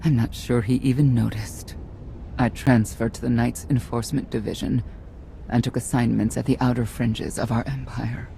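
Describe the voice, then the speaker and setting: A woman playing a weary, guarded middle-aged mother turned stern knight in a video game, speaking in a measured, solemn way with a creaky voice. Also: very deep voice, fairly even intonation, mellow, slight background noise, low-quality recording. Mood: resigned regret. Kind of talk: dramatic video game dialogue.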